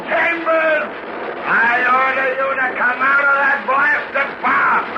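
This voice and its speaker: A man's voice: very high-pitched, steady tone, slow pace. A man playing a murderous, lovesick mad sea captain in a 1940s radio drama, melodramatic and haunted. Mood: menacing. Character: notorious mad sea captain, male, raving, murderous and lovelorn. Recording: noisy, echoey, muffled old radio recording.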